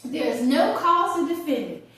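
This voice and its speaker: A woman's voice: high-pitched, animated, unhurried, fairly bright tone, pitched above the performer's own voice. A woman performing a theatrical monologue as a chatty, wry older woman, conversational and hesitant. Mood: wry, mock-gloomy. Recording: distant, very echoey room.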